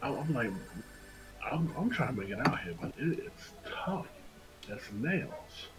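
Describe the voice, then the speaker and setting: A man chatting casually and earnestly, repeating words haltingly as he makes his point with emphasis. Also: mid-range voice, big pitch swings. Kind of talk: casual chat.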